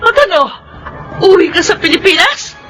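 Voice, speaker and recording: A woman's voice: extremely high pitch, lively intonation, moderate pace, fairly bright tone. A woman playing a jealous, possessive, demanding wife in a Filipino radio drama, with a Filipino accent. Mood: desperate, furious. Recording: music behind the voice.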